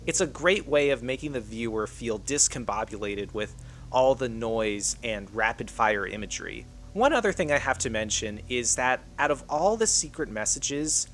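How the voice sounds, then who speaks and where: A man's voice: medium pitch, a bit bright. Man narrating, faint background noise. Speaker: video essayist, channel narrator. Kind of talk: scripted video essay narration.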